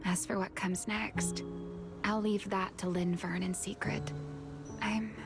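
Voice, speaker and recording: A woman playing a weary, secretive, century-old but young-looking game character, speaking slowly in a hushed, halting way. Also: mid-range voice, flat delivery. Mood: tender, tired. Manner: slow, hushed, breathy, halting delivery. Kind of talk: dramatic game cutscene voice acting. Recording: dramatic music behind.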